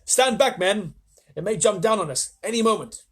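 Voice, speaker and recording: A man's voice: high voice, lively intonation, normal speed, breathy edge, pitched above the performer's own voice. A man voicing a blustering male police chief who bellows commands. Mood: bellowing.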